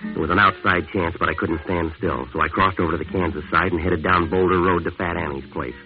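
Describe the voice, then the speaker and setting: An actor playing a tough, world-weary American jazz musician, clipped and deadpan, in a 1950s hard-boiled radio drama. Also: male voice, deep voice, fairly quick, close to an everyday voice. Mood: deadpan, brooding. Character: tough, world-weary male jazz musician narrating his crime story. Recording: tinny old radio recording with music.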